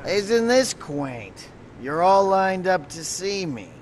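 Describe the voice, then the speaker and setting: An actor playing an imperious, cruel, short-tempered warlord in an audio drama, sneering and commanding. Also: male voice, sits high, very animated, slow pace, a little hiss or hum, heavily acted. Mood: furious, mocking. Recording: echoey room with music behind.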